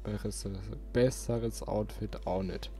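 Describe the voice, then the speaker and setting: Mellow dark-voiced guy doing casual let's play talk, thinking out loud, game music behind. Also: lowish voice, steady tone, slow pace. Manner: rambling, thinking aloud. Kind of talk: casual gameplay commentary.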